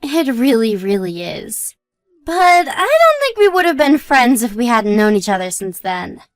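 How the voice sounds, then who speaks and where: Woman acting a high-voiced, lively young wife. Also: unhurried, no room sound, soft background music. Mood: chatty, even-tempered. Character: supportive, modest young wife chatting with her husband. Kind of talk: scripted dialogue for an online story drama.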